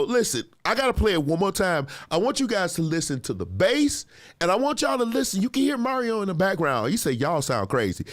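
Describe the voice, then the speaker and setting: A lively man with a fairly high speaking voice talks straight to his viewers in a casual reaction show. He is a gospel musician and producer, laughs often, and repeats lines for emphasis with a voice that swings up and down. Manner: animated, chatty, laughs often. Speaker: host reacting to clips.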